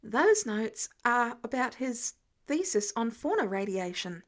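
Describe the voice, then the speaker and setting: A woman's voice: a little high, very animated, a little slow. A woman playing a sarcastic, bookish teenage boy in a narrated story, casual and guarded. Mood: irritated, precise.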